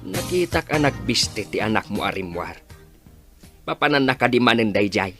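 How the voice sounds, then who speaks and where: Animated, skeptical village husband played by a man. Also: lowish voice, normal speed, music in the background, natural voice. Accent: Ilocano. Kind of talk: Ilocano radio drama acting.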